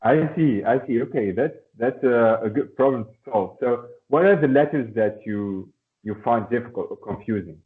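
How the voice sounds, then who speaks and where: A man's voice: medium pitch, moderate pace. A man teaching a one-on-one language lesson over a video call, encouraging and often repeating his words. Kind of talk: online lesson conversation. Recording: tinny phone-like video call audio.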